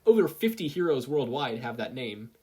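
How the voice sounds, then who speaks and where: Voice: mid-range voice, very animated, moderate pace, breathy edge. A narrator with an American accent voicing a brash, theatrical, teasing woman teacher in a story reading. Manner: loud, flamboyant, commanding.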